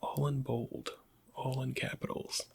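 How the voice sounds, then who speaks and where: A man playing a weary, bitter Imperial interrogator in a whispered ASMR roleplay, questioning in a halting, pointed way with a breathy, husky voice. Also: mid-range voice, little pitch movement, exaggerated voice. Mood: cold, sardonic.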